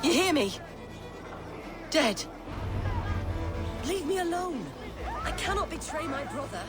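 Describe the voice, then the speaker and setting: Devout fanatical sister, high swooping voice, slow dramatic fervour, indignant, video game character. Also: female voice, big pitch swings, over music, crowd noise, exaggerated voice. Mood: anguished, defensive. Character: pious, fiercely loyal, fanatical sister of a bishop.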